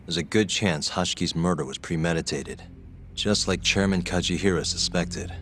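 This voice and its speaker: Cool, low-voiced male detective. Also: normal speed, a bit bright, a little hiss or hum. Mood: matter-of-fact, musing. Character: cool, composed male detective, persuasive and laid-back. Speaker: detective character in a crime drama game. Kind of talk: English-dubbed video game cutscene acting. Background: background music.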